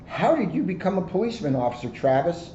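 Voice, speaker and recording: A man's voice: high-pitched, big pitch swings, fast, mellow, lo-fi sound, voice pushed up. A man voicing an earnest, easily impressed young boy in a storybook read-aloud. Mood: eager, amazed. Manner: earnest, wide-eyed. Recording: roomy recording with background noise.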